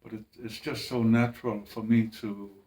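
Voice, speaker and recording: A man speaking in a halting, hesitant way, with restarts and long pauses, in a personal monologue. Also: on the low side, flat delivery, warm tone, poor audio. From Greenland. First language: Danish.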